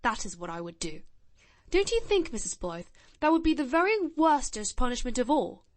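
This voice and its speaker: Lady putting on a solemn little boy voice, high and bouncy, blunt and shy, dry close sound. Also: sing-song, normal speed, dry recording. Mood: earnest. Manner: grave, blunt, shy childlike earnestness. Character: earnest solemn little boy, nearly ten.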